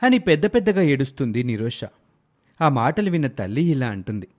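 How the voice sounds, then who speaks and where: Male narrator for moral tales, mid-range voice, very lively intonation, scripted storytelling. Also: mid-range voice, brisk.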